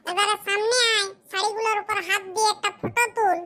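A teasing, bossy male cartoon sidekick, played by a man, talks in a high, electronically raised voice. The delivery nags in a matter-of-fact way with a rural West Bengali accent. There is clear noise in the audio. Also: flat delivery, fast talker. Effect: pitched-up cartoon voice. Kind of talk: comic cartoon dialogue.

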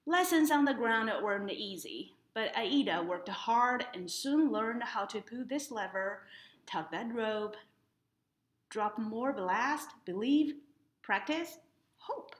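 Young woman reading aloud, lively swooping pitch. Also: slow pace. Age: young adult. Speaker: library storytime reader. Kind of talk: children's picture book read-aloud with explanatory asides. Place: indoors in front of a painted woodland mural.

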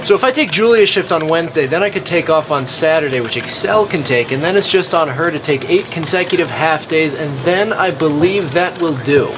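A man's voice: fairly high pitch, expressive, a little fast, hardly any pauses, background noise, natural voice. A man playing a scheming, aggrieved fifteen-year-old restaurant worker in a mockumentary comedy sketch. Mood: pushy. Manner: pushy, aggrieved.

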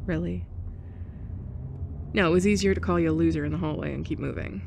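A woman's voice: lowish voice, fairly quick, gravelly, a little mellow, a little hiss or hum. A young woman playing a blunt, sarcastic former bully in an audio roleplay, sighing and scoffing as she talks. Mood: confessional. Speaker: ASMR roleplay performer. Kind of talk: F4M ASMR roleplay.

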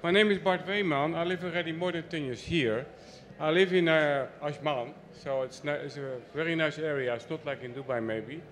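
Dutch guy in his 50s talking from the crowd on a handheld mic, kinda high voice, chatty and loose. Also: moderate pace, hardly any pauses. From Netherlands. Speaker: audience member. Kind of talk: spontaneous remarks from the floor. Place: large indoor event hall.

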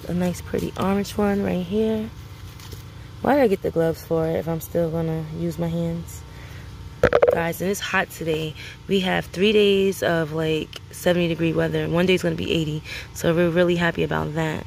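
A woman's voice: on the low side, steady tone, normal speed, vocal fry, darkish tone, a little hiss or hum, low-quality recording. A woman from Brooklyn, chatting casually to viewers while picking peppers in a sunny outdoor garden, filmed on a phone. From Brooklyn, New York City. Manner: chatty, addresses viewers as guys. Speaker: homestead vlogger.

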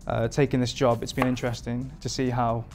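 Relaxed English chef, flat low-key voice. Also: male voice, a little fast, music in the background. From England. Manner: relaxed, rambling with many fillers. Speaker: performance chef. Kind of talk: interview answers. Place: modern home kitchen indoors.

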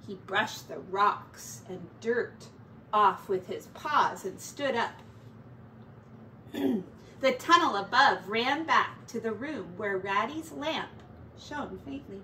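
A middle-aged woman reading a children's storybook aloud like a teacher, in a measured, dramatic way. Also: mid-range voice, expressive, sharp tone. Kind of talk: expressive children's storybook narration. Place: small attic-style bedroom, indoors. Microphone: distant camera mic. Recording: roomy, distant mic, faint noise.

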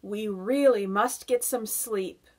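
A woman voicing a dignified old male grasshopper in a storybook, speaking slowly with withering scorn. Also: medium pitch, a little mellow, pitched above the performer's own voice. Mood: weary, firm.

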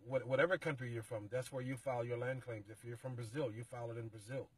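A middle-aged man with a husky, somewhat breathy voice talks in a measured, explanatory way, sometimes restarting his sentences. He sits in the passenger seat of a car and is picked up by the interviewer's phone. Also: medium pitch, steady tone, no echo, rough recording. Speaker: interview guest, organization representative. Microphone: phone camera held by the interviewer.

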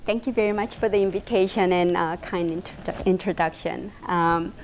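A woman's voice: fairly low pitch, moderate pace, a little hiss or hum, poor audio. A woman in her fifties from South Korea with a Korean accent, giving a personal talk to an audience in a meeting room, hesitant with many fillers. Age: 50s. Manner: hesitant, many fillers, animated hand gestures. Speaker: invited speaker. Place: bright meeting room indoors.

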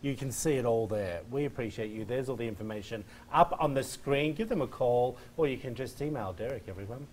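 A man's voice: medium pitch, brisk, hardly any pauses, a little mellow, a little hiss or hum. A middle-aged man hosting a daytime TV show from a studio set, upbeat and chatty, on a lapel mic. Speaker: TV show host. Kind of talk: morning TV chat.

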